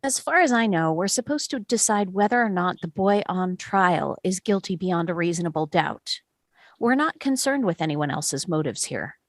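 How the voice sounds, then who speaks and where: A woman playing a quiet, thoughtful, doubting man on a jury, reflective and reasoned, in an amateur table read. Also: lowish voice, expressive, moderate pace, no room sound, clean studio sound. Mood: reasoning.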